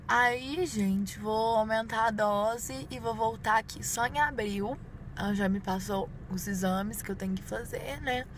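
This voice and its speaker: A Brazilian woman in her twenties chatting informally to viewers inside a parked car, busy with her makeup as she talks. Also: medium pitch. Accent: Brazilian Portuguese. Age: 20s. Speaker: beauty vlogger. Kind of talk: casual chat to viewers while doing makeup. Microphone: phone at arm's length. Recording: clear vehicle and ambient noise.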